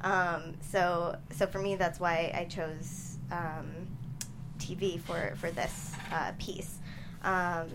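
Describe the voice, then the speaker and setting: A young woman answering interview questions as a guest writer, in a halting, thoughtful way. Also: fairly low pitch. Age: young adult. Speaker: guest screenwriter and playwright. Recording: steady background noise.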